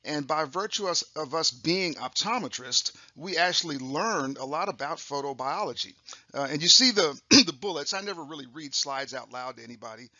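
A raspy older man lectures over slides in a loose, friendly way, stumbling into restarts and clearing his throat. His tone is very bright and pushed forward. The sound is dry and a little dull, close to the mic. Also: on the high side, lively intonation, normal speed, dry recording. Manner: chatty, upbeat, frequent fillers and restarts. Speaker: optometrist lecturer. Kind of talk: recorded continuing-education lecture.

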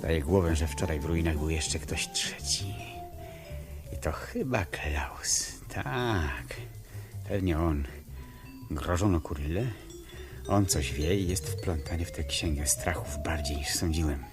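An actor playing a reflective, wry amateur detective in a 1970s radio play, musing and telling the story in measured phrases. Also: male voice, very deep voice, animated, music in the background. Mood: brooding, musing. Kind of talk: 1970s radio play acting with first-person narration.

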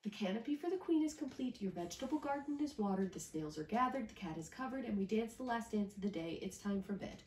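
A patient old grandfather from a picture book, gentle and coaxing, worn down by a child who won't settle, as a woman reading aloud voices him. The room is echoey and the voice a little distant from the mic. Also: mid-range voice, lively intonation, fast talker, few pauses, slight background noise, lightly acted.

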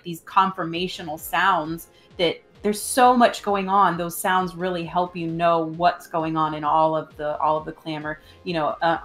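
A woman's voice: fairly low pitch, a bit bright. A woman in her thirties co-hosting a casual gaming discussion from a room at home. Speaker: podcast co-host. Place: room at home, on a group call. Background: music.

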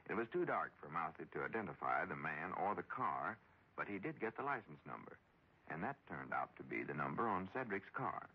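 A man announces an old radio serial in a low, very lively voice. The sound is husky, on a dull vintage recording. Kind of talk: 1940s radio scripted narration.

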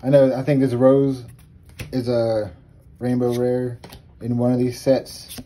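A man casually chatting while opening trading card packs, relaxed and chuckling as he calls out cards. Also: a little slow. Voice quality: says he has a very deep voice. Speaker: channel co-host. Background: faint crinkling of card packs.

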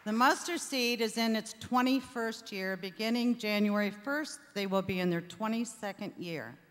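An older woman giving an informal thank-you speech from a stage at a stand microphone, with halting, deliberate phrasing. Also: medium pitch, a little hiss or hum. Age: older, 60s or above. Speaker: charity founder giving thanks. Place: theatre stage before an audience. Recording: reverberant hall sound.

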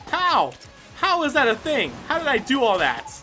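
High-pitched, animated male gamer. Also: normal speed. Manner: exclamatory, swearing, talking to himself and the game. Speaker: gaming video host. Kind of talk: casual excited gameplay commentary. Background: game music.

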